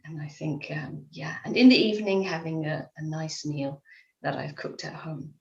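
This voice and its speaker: A woman's voice: low voice, moderate pace, creaky. Middle-aged woman, echoey and dull-sounding. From England. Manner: reflective, with frequent restarts and soft laughs. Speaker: author and life coach guest. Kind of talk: conversational interview answers. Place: at home in Spain, remote call. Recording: echoey room, slightly dull line.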